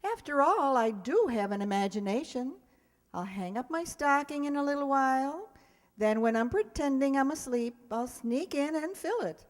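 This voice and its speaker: An actress as a wry schoolteacher heroine of a radio comedy, high-pitched and dryly sardonic. She turns her jokes on herself, and her voice carries through a reverberant hall. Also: expressive, moderate pace. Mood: dry, self-mocking. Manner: dry wisecracking, sardonic. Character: wry, lonely, self-mocking single schoolteacher woman. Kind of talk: old-time radio comedy acting. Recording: reverberant hall stage recording.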